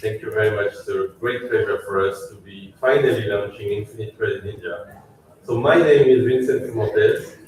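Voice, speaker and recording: A man with a French accent giving a polite, thankful launch speech as a company chief, pausing often with fillers. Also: lowish voice. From France. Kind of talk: conference speech. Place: large echoey event hall. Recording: echoey hall from far off.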